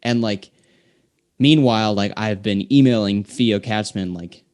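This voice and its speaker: A man's voice: fairly low pitch, measured pace, darkish tone. A young man, a singer-songwriter guest, chatting casually and telling long, rambling stories packed with filler words. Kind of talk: casual chat.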